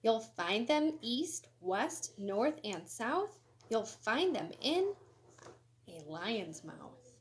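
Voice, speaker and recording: A woman reading a children's picture book aloud in an expressive, sing-song way, with short asides to young listeners. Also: on the high side, a little hiss or hum. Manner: expressive, sing-song, deliberate storybook delivery. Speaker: elementary school educator reading aloud.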